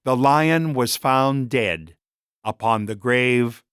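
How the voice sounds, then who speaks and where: A man reading a story as a narrator, in a measured and expressive scripted style. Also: mid-range voice, clear, resonant tone, no room sound, studio quality.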